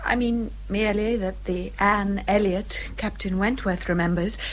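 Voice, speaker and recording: An actress playing a young English gentlewoman in a radio period drama, speaking with earnest, well-spoken restraint. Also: medium pitch, steady tone, measured pace, natural voice, rough recording. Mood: resigned. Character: gentle, self-controlled English gentlewoman of twenty-seven.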